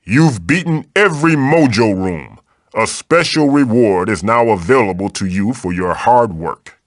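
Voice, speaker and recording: A man's voice: lowish voice, animated, a little slow, dry recording, clean studio sound. A man voicing a magical floating tiki mask in a video game, a fatherly guide who is theatrical, encouraging and wry. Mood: instructive. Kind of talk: video game character voice lines, tutorials and quips.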